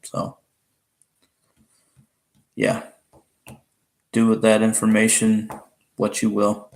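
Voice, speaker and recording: A man in his twenties from Alabama with a Southern accent and a deep voice, chatting casually with viewers on a live stream. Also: monotone, takes it slowly, a little mellow. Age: 20s. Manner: rambling, chatty, laughs often, restarts. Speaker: livestream host.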